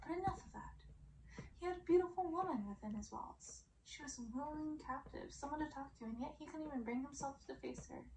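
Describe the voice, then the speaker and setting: A woman reading a story as ASMR in a hushed, deliberate way, often slipping into a soft whisper. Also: sits high, background noise. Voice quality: soft, breathy whisper at times. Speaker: ASMR reader.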